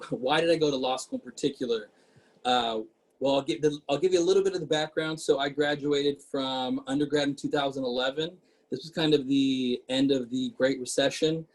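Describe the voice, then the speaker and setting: Husky-voiced man in his thirties. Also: medium pitch, poor audio. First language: Portuguese. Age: 30s. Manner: conversational, with frequent fillers and restarts. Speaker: guest speaker, city council member. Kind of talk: casual webinar talk.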